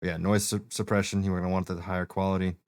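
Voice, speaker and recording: A man with a deep voice talks a friend through settings in a relaxed, plain way, with plenty of fillers. His tone sits low. The sound is dry, with no room around it. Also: quick pace, clear, resonant tone, no room sound. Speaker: streamer giving setup help. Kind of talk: casual walkthrough.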